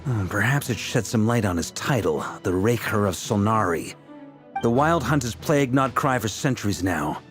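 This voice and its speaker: A man's voice: lowish voice, animated, normal speed, soft background music, natural voice. A man playing a shrewd, talkative knight leading a strategy meeting in a video game. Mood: explaining, serious.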